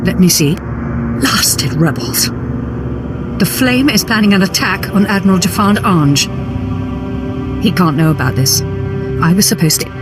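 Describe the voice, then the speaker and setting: Brief: military intelligence agent, formal, dutiful, deferential, very loud score behind. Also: male voice, sits high, animated, measured pace, pauses often, over music. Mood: flustered. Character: businesslike Imperial agent, deferential to superiors.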